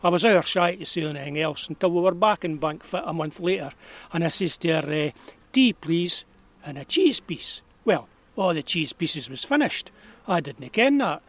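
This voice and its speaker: A man's voice: a little high, lively intonation, moderate pace. An actor playing a kindly, nostalgic old man of about eighty with a broad rural Perthshire Scottish accent, reminiscing in a folksy way in an audio drama. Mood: amused, reminiscing. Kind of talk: naturalistic audio drama storytelling.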